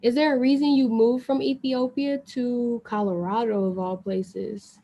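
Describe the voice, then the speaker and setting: A woman's voice: a little high, steady tone, measured pace, dark tone. A woman hosting a talk show, introducing her guest in a friendly, brief way. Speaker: host.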